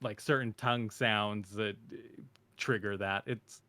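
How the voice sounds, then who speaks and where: A man's voice: lowish voice, steady tone, unhurried, pushed and bright. Casual man full of "like" and "uh". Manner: filler-heavy, with many "like" and "uh". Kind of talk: casual roundtable chat.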